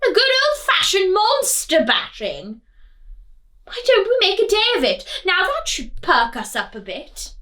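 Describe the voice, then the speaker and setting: Storybook villager, voiced by a woman: grumpy, bored, scheming, very high and bright, jaunty rhyme. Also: huge pitch swings, unhurried, heavily acted. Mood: eager, scheming. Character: bored, grumpy townsfolk itching for mischief.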